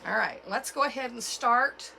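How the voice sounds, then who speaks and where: A woman with a cold and a froggy, hoarse voice, chatting casually as she walks through a craft tutorial at home. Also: fairly high pitch, animated, bright, forceful tone, slight background noise. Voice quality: froggy, gravelly. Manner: chatty, unhurried, with fillers and self-corrections. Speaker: craft channel host.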